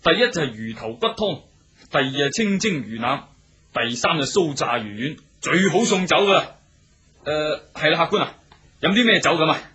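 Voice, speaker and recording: A man's voice: a little high, lively intonation, moderate pace, sharp tone. A man playing an eager, deferential innkeeper in a radio drama, chatty and full of small interjections, with a Hong Kong Cantonese accent. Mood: obliging. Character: eager, talkative, obliging male innkeeper serving travellers.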